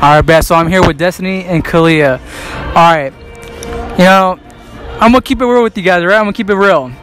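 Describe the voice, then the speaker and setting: A cocky young man with a fairly high, light voice runs a prank interview in a busy mall, bantering in slang and laughing at his own jokes into a handheld mic. Music plays clearly in the background. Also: fairly quick, a bit bright, rough recording. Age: young adult. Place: indoor shopping mall. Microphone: handheld mic held near mouth.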